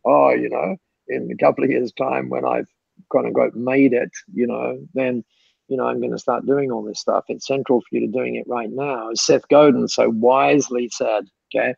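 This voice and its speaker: A man's voice: medium pitch, gravelly, a little mellow, slightly rough, dry recording. A man answering questions as a guest speaker in chatty, repeating speech that breaks into laughs, on a dull lo-fi call recording. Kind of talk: mentoring Q&A on a call.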